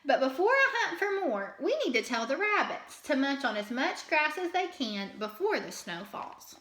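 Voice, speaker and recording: Woman as an earnest, bright-voiced squirrel. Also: high-pitched, sing-song, normal speed, reverberant. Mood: earnest concern. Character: busy, helpful squirrel in a storybook.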